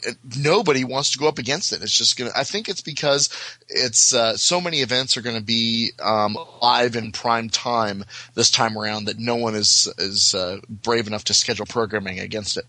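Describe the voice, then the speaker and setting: A middle-aged American man chatting casually as a podcast guest, halting often with filler words and restarts. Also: mid-range voice, bright, forceful tone, no echo, rough recording. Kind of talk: casual panel chat.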